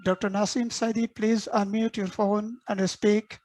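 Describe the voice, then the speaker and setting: A woman's voice: medium pitch, level tone, a little slow, a little mellow. A woman hosting an online meeting, formally calling on attendees by name and introducing the speaker. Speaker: meeting host. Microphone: video call.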